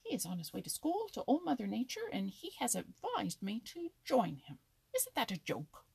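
A woman's voice: fairly high pitch, wildly swooping pitch, normal speed, gravelly, a little mellow, husky, dry recording, voice pushed up, exaggerated voice. A woman voicing an indignant, mocking know-it-all male gray squirrel in a storybook, with boastful, scoffing exclamations.

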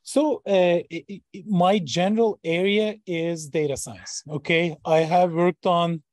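A man in his forties, from Turkey, speaks as a podcast guest in a fairly high voice. He hesitates often and fills the gaps with many ums and uhs. The sound is close and dry. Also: measured pace, darkish tone, no echo. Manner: hesitant, many fillers and restarts. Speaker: startup founder and professor. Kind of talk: interview guest.

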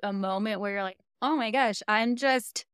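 American woman hosting a podcast, chatty and thoughtful, smooth clear voice, dry close sound. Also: mid-range voice, moderate pace, no room sound. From United States. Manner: chatty and reflective, with frequent "like" and soft laughs. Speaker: podcast host. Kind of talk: casual interview chat.

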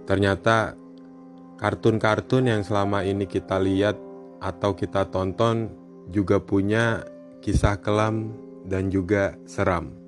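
A man's voice: lowish voice, flat delivery, unhurried, pauses often. A man hosting a horror podcast, reading scripted narration. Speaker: podcast host. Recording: music bed behind the voice. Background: background music.

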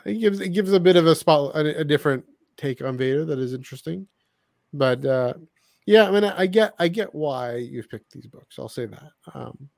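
A man's voice: medium pitch, creaky, a little mellow, no room sound, studio quality. A man chatting casually as a podcast co-host, thinking aloud and hedging as he goes. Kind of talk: casual podcast chat about books.

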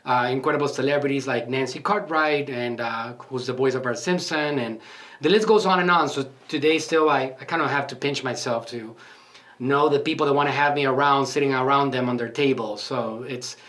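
A man's voice: medium pitch, fairly quick, a bit bright. A man who runs a marketing agency, talking earnestly and emphatically in a motivational podcast style, with frequent fillers. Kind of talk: motivational podcast talk and interview answers.

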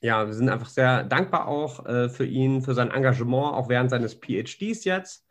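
A man leading a student ministry event, introducing the next speaker in a halting way, with many fillers. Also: medium pitch. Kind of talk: casual introduction.

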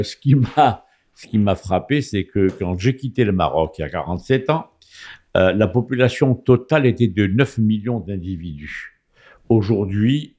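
A man's voice: lowish voice, a little mellow. A man from Morocco speaking on a radio talk show, hesitant, with frequent fillers and restarts. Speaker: guest commentator. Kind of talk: casual radio chat. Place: radio studio.

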